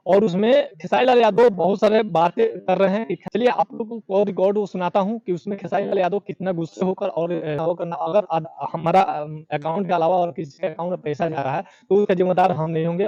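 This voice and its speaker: A man presenting news informally and unscripted, halting and repeating himself, in a dull lo-fi recording. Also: high-pitched, dark tone.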